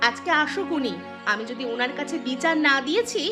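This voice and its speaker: A woman voicing a sharp-tongued, quarrelsome younger village housewife in a cartoon, scolding and snappy. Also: sits high, animated, a little fast, over music. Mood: scolding, indignant. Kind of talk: Bengali cartoon fairy-tale dubbing.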